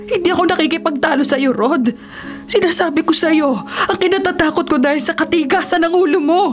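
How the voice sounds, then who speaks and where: A woman's voice: very high voice, brisk. A woman playing an anxious, nagging wife who scolds and pleads emotionally in a Filipino radio drama. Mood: scolding.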